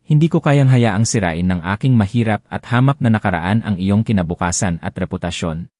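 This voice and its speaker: A man's voice: lowish voice, dry recording, clean studio sound. A man reading as a grieving young mother. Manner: soft, sorrowful, tender. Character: sad self-sacrificing young mother writing a farewell letter.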